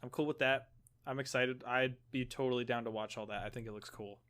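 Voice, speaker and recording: A man's voice: medium pitch, fairly even intonation, a little fast, a bit bright. A man co-hosting a podcast, chatting casually in an easygoing way. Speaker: podcast co-host. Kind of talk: casual chat.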